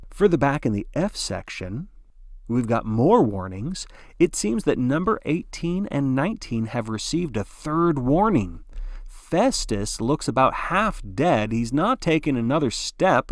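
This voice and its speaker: A man's voice: medium pitch, no echo, studio quality. A man narrating like a sports broadcaster, giving dramatic play-by-play in a theatrical, folksy announcer style.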